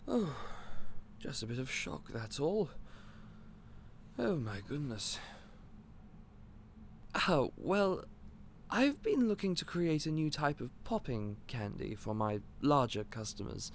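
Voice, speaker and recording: An actor playing a gentle, clumsy candy shop owner who stammers and speaks in a polite, old-fashioned way. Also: male voice, on the high side, very animated, takes it slowly, a little hiss or hum, heavily acted. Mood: flustered. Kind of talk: audio roleplay acting.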